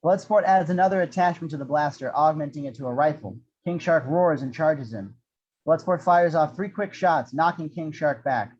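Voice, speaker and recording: A man's voice: a little high, normal speed, a little mellow, dull audio. A man reading action descriptions as the narrator of an amateur screenplay table read.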